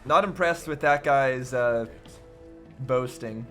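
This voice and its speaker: A man giving casual reaction commentary in a halting, musing way. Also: medium pitch, a bit bright, faint music behind. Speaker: reaction video host.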